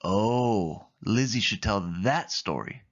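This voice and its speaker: A man's voice: lowish voice, expressive, takes it slowly, exaggerated voice. A slightly muffled storybook recording of a friendly lady car acted out by a man. She is organized and reassuring, a natural bedtime storyteller. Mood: reassuring storytelling.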